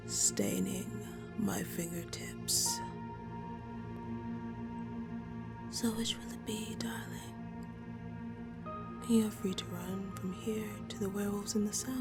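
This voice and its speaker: A woman playing a dominant, hungry female vampire in an audio roleplay, taunting and menacing her prey in a condescending, breathy voice. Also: fairly low pitch. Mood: sultry, menacing. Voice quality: breathy, often dropping toward a whisper. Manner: slow, teasing, condescending, menacing. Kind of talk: audio roleplay acting, addressed to the listener.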